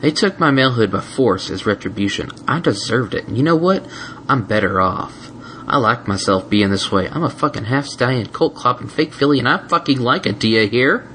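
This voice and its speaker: Haunted ex-brute pony stallion, read by a man, halting and stammering, faint hiss. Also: medium pitch, expressive, fairly bright tone, voice pushed up, lightly acted. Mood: defiant. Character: tormented male pony, ashamed former brute.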